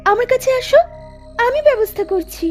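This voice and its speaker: A woman playing a menacing female witch of the graveyard in a horror cartoon, with a drawn-out, threatening delivery. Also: very high-pitched, animated, a little mellow, soft background music. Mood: luring. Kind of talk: Bengali horror cartoon acting.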